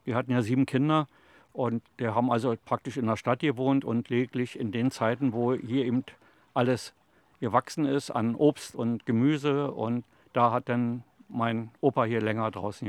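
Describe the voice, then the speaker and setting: A retired man in his seventies answers interview questions, telling stories in a calm, plain, rambling way. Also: mid-range voice, moderate pace, no echo. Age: 70s. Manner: calm, plain, rambling storyteller with fillers like "also" and "eben". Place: outdoors in a city allotment garden.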